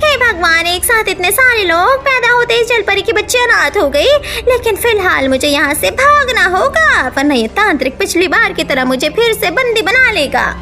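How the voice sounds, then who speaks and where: A woman's voice: extremely high pitch, animated, moderate pace, hardly any pauses, over music. A woman voicing a kindhearted, anxious witch in a cartoon story, exclamatory and dramatic. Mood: anxious, startled. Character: kindhearted anxious female witch ghost turned protective mother. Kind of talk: Hindi cartoon story dubbing.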